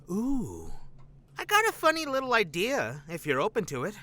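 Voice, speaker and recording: An actor playing a cocky, playful undercover operative in an audio drama, with a theatrical, teasing delivery. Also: male voice, high voice, huge pitch swings, bright, forceful tone, exaggerated voice. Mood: teasing, upbeat. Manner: theatrical, joking, drawn-out delivery.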